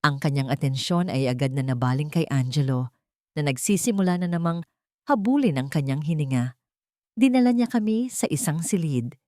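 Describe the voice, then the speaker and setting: A woman narrating a first-person dramatic story in a measured, dramatic pace. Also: deep voice, expressive, a little mellow, dry recording, clean studio sound. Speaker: audiobook narrator.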